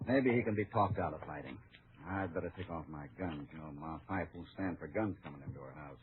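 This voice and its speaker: A steady western hero in a vintage radio drama. His voice is deep and unhurried in temper, calm throughout. The recording is tinny and quite noisy. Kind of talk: old-time radio western acting.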